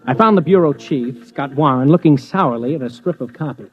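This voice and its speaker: Man playing a brash, high-pitched American radio-drama reporter. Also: a little fast, natural voice. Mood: wry narrating. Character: brash, persistent young male wire-service reporter. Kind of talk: 1950s radio drama acting and first-person narration. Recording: dull old radio recording, faint music.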